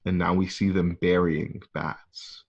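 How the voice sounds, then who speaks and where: A man's voice: sits low, little pitch movement, takes it slowly, gravelly, highs cut off. A man narrating a screenplay's stage directions for an audio drama table read, recorded at home.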